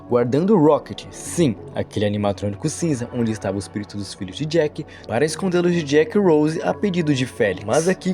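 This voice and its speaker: A man's voice: mid-range voice, warm tone. A man with a Brazilian accent narrating an explanatory storytelling video essay as a voice-over.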